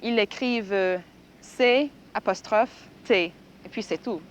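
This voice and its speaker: A woman from France answers thoughtfully in a mid-pitched voice, often restarting her phrases. A faint hiss sits under the voice in an old radio recording. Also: slow. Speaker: student. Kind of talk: archival radio interview answers.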